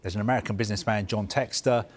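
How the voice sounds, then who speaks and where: A man reporting football news, explaining a story in a measured, careful way with frequent fillers. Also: fairly low pitch, fairly even intonation. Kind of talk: live news discussion.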